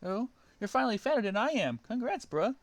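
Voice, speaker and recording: Man doing a cocky slacker hedgehog, goofy, loud and high. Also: big pitch swings, brisk, no room sound. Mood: flippant. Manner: goofy, raised-pitch voice. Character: cocky young male hedgehog, flippant slacker.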